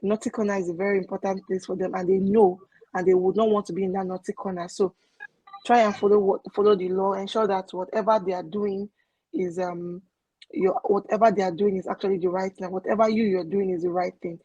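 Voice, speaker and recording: A woman guest speaks calmly and instructively, with a few hesitant pauses. Her voice is mellow and a little husky. The recording is dry and close. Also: medium pitch, no room sound, highs cut off. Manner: calm, instructive, with fillers. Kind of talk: giving advice in a discussion.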